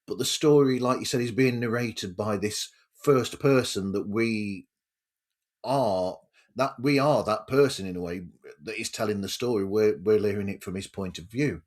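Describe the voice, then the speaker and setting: A man's voice: lowish voice, normal speed. A man hosting a casual podcast interview, talking discursively and rephrasing himself as he goes.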